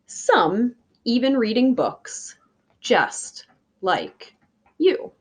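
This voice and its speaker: A woman reading a picture book aloud like a teacher, with deliberate, emphatic phrasing for young listeners. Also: a little high, sing-song, slight background noise. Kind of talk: children's read-aloud.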